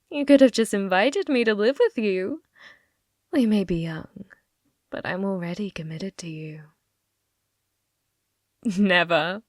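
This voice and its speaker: A woman playing a possessive, manipulative schoolgirl childhood friend in an ASMR roleplay, coy and teasing, with breathy, sweetly menacing delivery. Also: mid-range voice, no echo, studio quality. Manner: coy, teasing, drawn-out phrasing dropping into breathy whispers.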